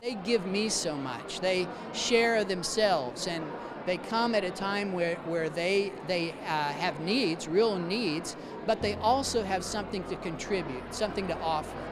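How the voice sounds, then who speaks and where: An ESL teacher and event organizer speaking in a thoughtful, measured way about their students. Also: medium pitch, noisy background. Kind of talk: interview.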